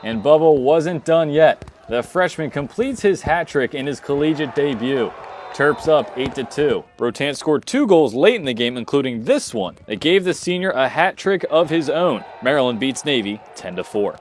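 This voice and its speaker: Higher-pitched young male sports reporter. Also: expressive, moderate pace, slight background noise. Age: young adult. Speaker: student sports reporter and anchor. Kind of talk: scripted highlight narration and field reporting.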